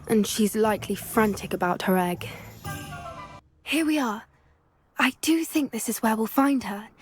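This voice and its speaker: A woman voicing an earnest, determined teenage witch in a video game, with a British accent and an articulate, quietly intense manner. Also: a little high, lightly acted. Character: earnest, determined teenage witch schoolgirl who loves creatures. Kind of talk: cinematic game dialogue acting. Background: background music.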